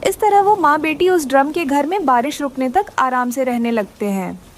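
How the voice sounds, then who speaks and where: A woman with a high voice narrates a scripted children's story. There is faint noise behind her narration. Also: moderate pace.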